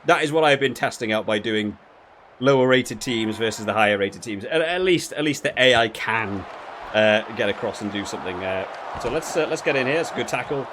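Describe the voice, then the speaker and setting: Guy chatting through a game review, average pitch, rambles and thinks out loud, some noise behind him. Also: lively intonation, fairly quick. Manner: rambling, thinking aloud with frequent hedging. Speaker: gaming video creator reviewing a rugby game. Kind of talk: casual gameplay commentary.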